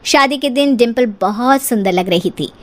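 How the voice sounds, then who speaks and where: High-pitched female cartoon storyteller. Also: normal speed. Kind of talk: scripted cartoon story narration.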